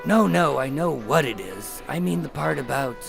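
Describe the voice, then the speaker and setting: Man playing a gravelly, wry undercover agent. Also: on the high side, expressive, normal speed, music in the background. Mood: wary, incredulous. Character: wry, wary undercover FBI agent narrating his story. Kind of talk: noir-like scripted narration and radio drama acting.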